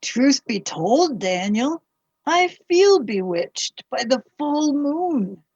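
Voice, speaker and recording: High, slow, wistful period wife, woman acting. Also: very animated, darkish tone, breathy edge, no room sound, muffled recording, heavily acted. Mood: wistful, fond. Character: moon-bewitched old-time mother, longing, fond and wistful. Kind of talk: period radio play acting.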